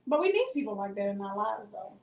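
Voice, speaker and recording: A woman co-hosting a podcast in casual chat, agreeable and quick to affirm. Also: medium pitch, big pitch swings, a little mellow. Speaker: podcast co-host. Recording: echoey room, far from mic.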